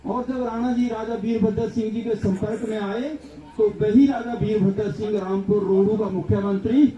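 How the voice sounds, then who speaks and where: A man's voice: high voice, fairly even intonation, normal speed, dark tone, soft background music. A man delivering a political speech at a public gathering, building his points through repeated lists. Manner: rhetorical, repetitive lists. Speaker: political speaker. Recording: echoey, distant, dull sound.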